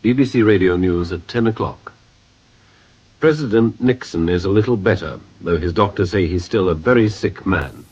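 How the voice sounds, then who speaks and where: Slightly husky radio newsreader. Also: male voice, sits low, moderate pace, a little mellow, highs cut off. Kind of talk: formal scripted news bulletin.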